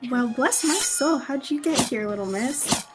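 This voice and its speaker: Young woman playing a dim, amiable female gladiator, slightly high pitch, folksy manner, radio play, music underneath. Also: lively intonation, measured pace. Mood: friendly. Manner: folksy turns of phrase. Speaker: student radio theatre actor. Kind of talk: radio theatre acting. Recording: music behind the voice.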